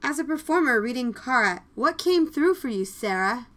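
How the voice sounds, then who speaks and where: A woman hosting a podcast in casual, chatty style, with an excitable, effusive manner. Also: high-pitched, moderate pace. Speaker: podcast host. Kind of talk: casual podcast chat with announcements.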